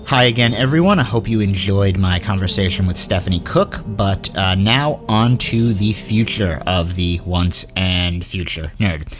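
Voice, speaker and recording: A man's voice: sits low, measured pace, music in the background. A man hosting a podcast, giving announcements in a chatty, informal, earnest way. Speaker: podcast host and show creator.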